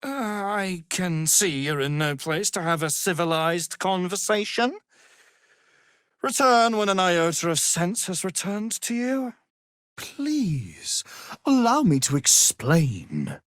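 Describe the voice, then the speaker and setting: Pompous wizard, sweepingly dramatic pitch. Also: male voice, sing-song, slow, a bit bright, dry recording, clean studio sound, full character voice. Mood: disdainful. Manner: pompous, condescending, theatrical. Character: arrogant, grandiose male wizard obsessed with power and immortality. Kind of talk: dramatic fantasy video game acting.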